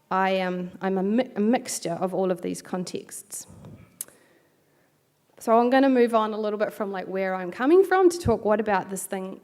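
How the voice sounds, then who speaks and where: Woman, New Zealand accent, middle-aged, keynote lecturer, measured and reflective, mid-pitched voice. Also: a little mellow. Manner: measured, reflective, with light self-deprecating asides. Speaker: keynote speaker. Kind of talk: academic lecture.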